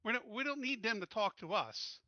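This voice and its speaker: A man's voice: sits high, animated, a little fast, sharp tone, dry recording, lo-fi sound. A man playing a tabletop roleplaying game, chatting casually and thinking plans through aloud.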